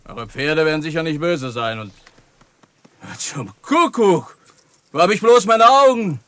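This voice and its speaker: An actor playing a chatty, folksy cowboy in a western radio drama, wary but friendly, with a brisk manner. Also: male voice, high-pitched, a bit bright, no echo.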